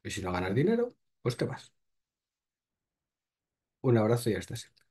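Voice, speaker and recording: A man's voice: medium pitch, wildly swooping pitch, normal speed, mellow, husky. A man, hosting and reading crypto news aloud, then breaking off with short blunt remarks. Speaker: host.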